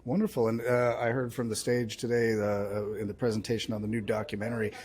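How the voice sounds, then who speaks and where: A man's voice: lowish voice, flat delivery, fast, a little mellow, slightly rough. A man working as an interviewer, asking conversational questions in an agreeable, affirming, slightly tentative way.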